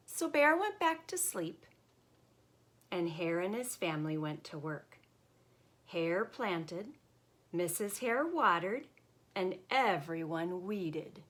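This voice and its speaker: A woman reading a children's picture book aloud in an expressive, deliberate, emphatic way. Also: lowish voice.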